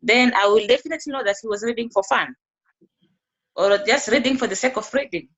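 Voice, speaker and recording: A woman's voice: fairly high pitch, a little fast, a bit bright, slightly rough, highs cut off. A woman teacher speaking hesitantly with fillers in an online discussion over a video call. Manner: hesitant, gives examples.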